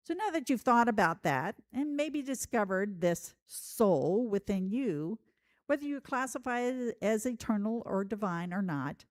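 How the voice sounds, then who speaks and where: Female preacher, reflective and measured, with widely varied pitch and a dry, close sound. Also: dry recording. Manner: measured, posing rhetorical questions. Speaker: preacher giving a sermon. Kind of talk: reflective sermon.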